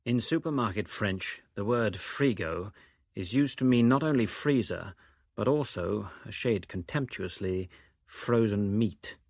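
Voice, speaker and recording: Dry close sound, a man voicing reserved male doctor narrator, low voice, detached, exact, novel reading. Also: animated, a little slow, gravelly, darkish tone, no room sound, full character voice. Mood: cool, detached. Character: reserved, precise, self-contained doctor narrating his own story.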